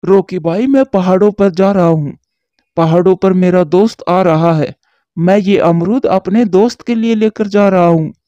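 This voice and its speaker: A timid, frightened male parrot from a cartoon, acted by a man, pleading nervously in a high, mellow voice. The recording is dull and lo-fi. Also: a little slow, no echo.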